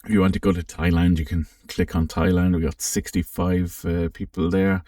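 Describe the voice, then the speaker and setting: A man giving a casual, unscripted software walkthrough, thinking aloud with some hesitation as he presents. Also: deep voice, level tone, normal speed, studio quality. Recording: roomy, slightly distant microphone.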